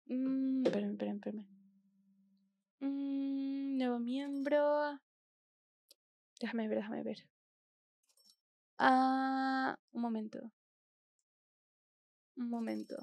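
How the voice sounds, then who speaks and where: A woman's voice: sits high, steady tone, slow pace, gravelly, long pauses, a little mellow, no room sound. A young woman with a Mexican accent, chatting casually and thinking aloud while she plays a game on stream. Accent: Mexican Spanish. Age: young adult. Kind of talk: casual gameplay commentary. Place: small indoor room at home.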